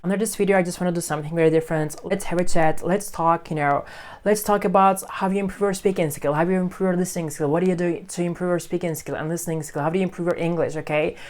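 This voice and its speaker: A woman's voice: on the low side, steady tone, quick pace, a little mellow. A woman from Turkey whose first language is Turkish speaks English with a Turkish accent, talking straight to her viewers in an earnest, encouraging way. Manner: earnest, encouraging, repeats "okay?" and "you know". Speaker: English-learning video creator. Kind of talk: casual talk to camera.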